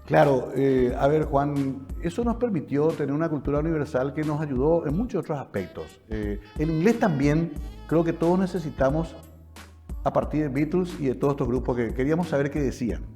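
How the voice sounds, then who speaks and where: A man's voice: a little high, lively intonation, fairly quick, warm tone, soft background music. A man in his sixties with a Paraguayan Spanish accent, a talk show host who keeps the chat conversational and chimes in with short agreements. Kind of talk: casual interview chat.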